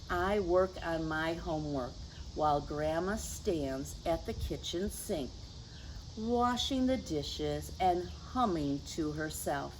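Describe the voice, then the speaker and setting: A woman hosting a children's story time, reading aloud in a gentle, teacherly way and speaking directly to young listeners. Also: sits low, a little hiss or hum, rough recording. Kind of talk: picture book read-aloud for children.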